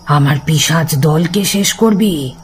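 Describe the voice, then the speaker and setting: An actor playing a vengeful demon ghoul in an audio horror drama, shouting threats in a shrill, strained voice. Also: male voice, takes it slowly, slight background noise. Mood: enraged, threatening. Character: vengeful menacing night-roaming demon ghoul, screeching and threatening.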